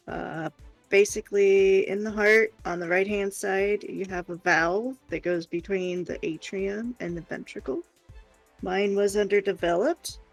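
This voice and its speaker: Woman, gravelly vocal fry, mid pitch, casual co-host chat, playful, faint music. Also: rough recording. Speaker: streamer's wife and co-host.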